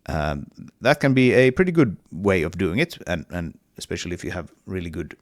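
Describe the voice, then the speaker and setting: A man's voice: deep voice, animated, fairly quick, dry recording, clean studio sound. A man with a cold and a partly lost voice, talking casually through his game level in a hesitant devlog with many fillers. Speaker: indie game developer.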